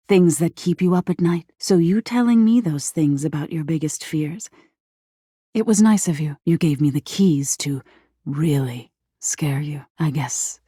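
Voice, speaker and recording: A woman voicing an eerie, thoughtful nightmare spirit in a video game, measured and breathy, often slipping toward a whisper. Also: sits low, mellow, no room sound, clean studio sound. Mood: musing, softly menacing. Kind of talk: scripted game character acting, intimate second-person storytelling.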